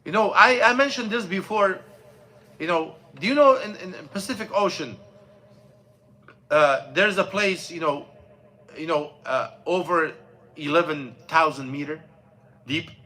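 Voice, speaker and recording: Bright-voiced man telling a story. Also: fairly high pitch. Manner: storytelling, halting with many fillers and restarts. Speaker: imam. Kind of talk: mosque class talk. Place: mosque classroom.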